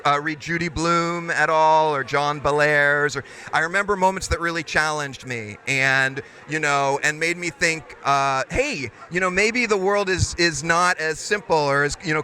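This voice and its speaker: Bright-toned thoughtful screenwriter. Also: male voice, on the high side. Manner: thoughtful, hesitant, many fillers. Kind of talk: interview answers.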